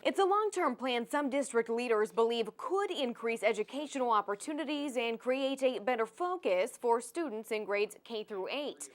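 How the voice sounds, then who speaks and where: A woman with an American accent reading scripted television news narration as a reporter. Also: a little high.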